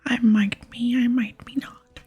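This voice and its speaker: A woman voicing a gruff, hard-drinking male dwarf from a game in a breathy whisper. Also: on the high side, flat delivery, measured pace, darkish tone, soft background music, pitched above the performer's own voice. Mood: cagey, thirsty. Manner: cagey, drink-loving. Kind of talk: whispered ASMR reading of game dialogue.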